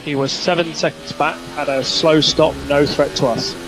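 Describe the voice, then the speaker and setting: A man's voice: fairly high pitch, fairly even intonation, moderate pace, background noise. A man working as a race engineer, giving procedural, repeated instructions to a driver over team radio.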